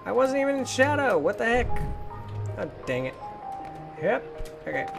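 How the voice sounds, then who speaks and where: A man's voice: very high voice, big pitch swings, moderate pace, vocal fry. A young man giving casual let's play commentary, chatty and goofy with playful exclamations. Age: young adult. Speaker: gaming YouTuber. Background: game music.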